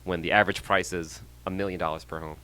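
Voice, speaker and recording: A man's voice: sits low, fairly even intonation, sharp tone, clear, resonant tone. A man in his thirties from San Jose, California, a real estate agent talking casually as a podcast guest with plenty of ums. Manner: friendly, measured, with frequent ums and small laughs.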